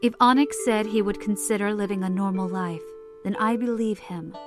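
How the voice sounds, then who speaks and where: A woman's voice: medium pitch, normal speed, lightly acted. Woman acting a caring, firm mother over guitar. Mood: worried. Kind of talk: audio drama acting.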